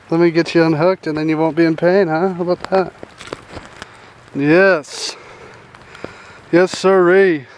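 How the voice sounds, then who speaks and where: Excited young man fishing at a pond. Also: sits high, slight background noise. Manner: excited, chatty, says "dude" and "guys" a lot. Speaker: fishing channel host. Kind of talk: casual fishing vlog narration. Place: outdoors at a rural pond. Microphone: head-mounted action camera.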